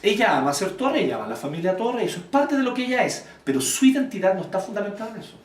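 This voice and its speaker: A Chilean man giving an informal church teaching talk, blunt and animated, quizzing the audience as he goes. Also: high-pitched, quick pace. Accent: Chilean Spanish. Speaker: church speaker teaching volunteers. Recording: echoey room, voice from far off.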